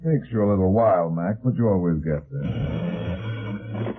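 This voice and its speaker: Laconic low-voiced radio private eye, thin old recording. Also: male voice, unhurried, breathy edge. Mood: confident. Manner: laconic, blunt questioning. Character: cool, unflappable hard-boiled private detective. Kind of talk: 1950s radio detective drama acting. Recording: muffled phone-like vintage radio recording.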